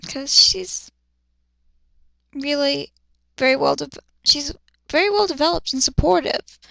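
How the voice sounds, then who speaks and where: Female reader-commentator, blunt and sarcastic, creaky edge, close dry sound, dramatic amateur story reading. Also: high voice, a little slow, a bit bright, no room sound. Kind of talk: dramatic fan fiction reading with ranting asides.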